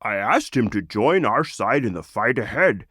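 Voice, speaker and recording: A man's voice: medium pitch, sing-song, normal speed, sharp tone, husky, no echo, studio quality, heavily acted. A man voicing a proud, noble lizard wizard in a storybook, speaking in a solemn, declamatory way. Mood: solemn, earnest.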